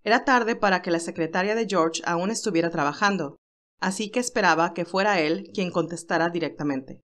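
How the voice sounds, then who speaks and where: A woman's voice: fairly low pitch, brisk, a bit bright. A woman reading a scripted story aloud as an audiobook narrator.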